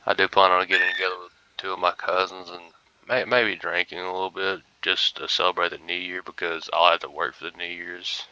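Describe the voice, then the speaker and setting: Groggy young man with a deep gravelly voice. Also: little pitch movement, a little fast, sharp tone, no echo, dull audio. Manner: laid-back, rambling, mumbly asides. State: groggy, just woken up. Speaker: gaming streamer. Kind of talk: casual gameplay commentary.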